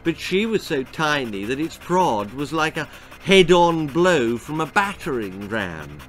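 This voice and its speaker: A man's voice: a little high, very animated, measured pace, background noise. A man reading a children's horror-comedy story in a theatrical, precise storytelling style. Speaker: author reading his own story.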